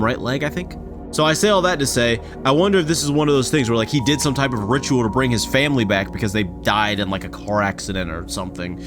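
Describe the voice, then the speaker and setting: Casual male reaction commentator, animated and rambling, speaking over prominent background music. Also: medium pitch. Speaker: reaction video creator. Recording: loud music under the voice. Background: background music from games.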